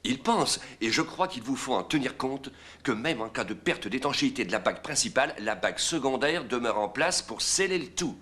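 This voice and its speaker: A man acting a measured engineering company executive in a dubbed docudrama, speaking formally and technically. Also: mid-range voice, expressive, pushed and bright.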